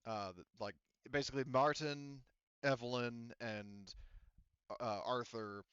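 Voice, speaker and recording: A man running a tabletop game, thinking out loud with plenty of fillers and the odd curse. His voice is smooth and clear, recorded close and dry. The voice chat audio sounds a little muffled. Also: mid-range voice, fairly even intonation, slow pace, dry recording. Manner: rambling, filler-heavy, profane. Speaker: game master.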